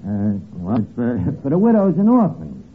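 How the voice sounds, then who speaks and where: Lowbrow New wisecracker, animated delivery, mangled grammar, deadpan comebacks, 1940s radio comedy, noisy old recording. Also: male voice, moderate pace. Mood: haggling, sly. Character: wisecracking, tightfisted lowbrow barkeeper, a man. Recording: tinny, noisy vintage radio broadcast.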